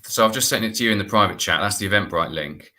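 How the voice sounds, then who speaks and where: A man's voice: fairly low pitch, fast, a crowd in the background. A man in his forties speaking informally as a guest on a video call, explaining a project. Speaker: guest, artistic director and playwright. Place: indoor room at home, on a video call.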